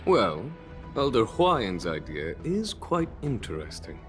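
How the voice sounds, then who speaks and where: A polite, composed young swordsman with a measured manner. His voice sits low, yet it moves freely in pitch. Faint music plays behind him. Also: huge pitch swings, full character voice.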